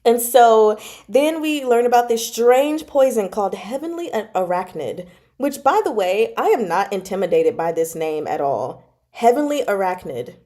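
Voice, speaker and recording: A woman chatting casually as host, retelling a novel to viewers in an animated, giggly, slangy way and acting out reactions. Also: mid-range voice, moderate pace. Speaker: book club host and storyteller.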